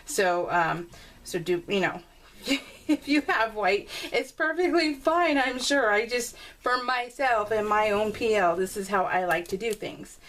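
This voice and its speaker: A woman's voice: mid-range voice, a little slow, fairly bright tone, poor audio. A middle-aged woman chatting casually and rambling while she works on a scrapbook layout, laughing often. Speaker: scrapbooking video creator. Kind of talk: casual voice-over while crafting.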